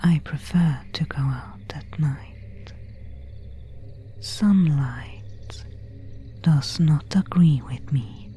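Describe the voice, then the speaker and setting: A woman's voice: low voice, very slow, long pauses, dark tone, soft background music. A woman playing an elegant, seductive vampire of an ancient family in a whispered ASMR roleplay, speaking intimately in formal, archaic phrases. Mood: courteous, beguiling. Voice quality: breathy, unvoiced whisper.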